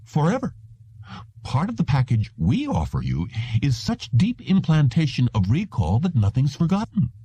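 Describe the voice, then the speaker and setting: A man plays a smooth, patronizing salesman from an audiobook, pitched up high and swinging widely in tone. He is polite and reassuring, pressing his pitch in a mellow, closely recorded voice. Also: huge pitch swings, moderate pace, dry recording, heavily acted. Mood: confident sales pitch. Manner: insistent, reassuring, formally polite. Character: smooth, patronizing salesman of implanted memories, male. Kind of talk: audiobook character voice.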